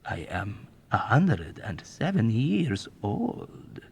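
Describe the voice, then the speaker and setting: A man's voice: a little high, huge pitch swings, no echo, voice pushed up, heavily acted. A man voicing a sly, villainous 107-year-old man who talks suavely and teasingly in an audiobook. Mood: suave, mocking. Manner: suave, teasing, drawn-out delivery.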